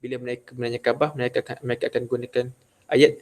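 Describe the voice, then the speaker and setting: A young man from Kelantan giving a halting class presentation, full of fillers and restarts. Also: medium pitch, level tone, a little mellow. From Malaysia, Kelantan. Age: young adult. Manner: hesitant, many fillers and restarts. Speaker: student presenter.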